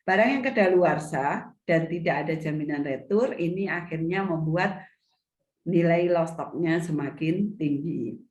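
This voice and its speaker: A middle-aged woman lectures a seminar from a video call. Her voice sits low and is a little hoarse from a cough. She explains patiently and often restates her points, and the call audio carries a roomy echo. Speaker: retail business mentor.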